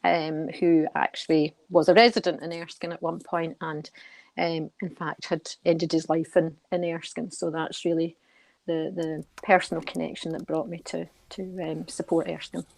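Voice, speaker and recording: A middle-aged woman answers interview questions in a low voice with very little melodic movement. She is measured and thoughtful, doubling back on words as she talks. The sound is close and dry. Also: little pitch movement, gravelly, darkish tone, no room sound. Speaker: guest, senior nurse and charity committee member.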